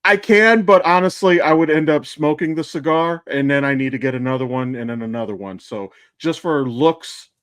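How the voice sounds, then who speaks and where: A man's voice: fairly high pitch, moderate pace, hardly any pauses, a bit bright, clean tone, dry recording, studio quality. An American man co-hosting a podcast in casual, rambling, sweary chat. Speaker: podcast co-host and voice actor.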